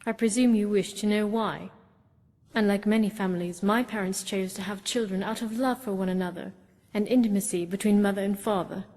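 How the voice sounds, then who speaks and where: A woman's voice: mid-range voice, fairly even intonation, a little fast, darkish tone, big-room sound, lightly acted. A woman voicing a spirited, outspoken young elf adventurer in a fantasy video game. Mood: proud, matter-of-fact.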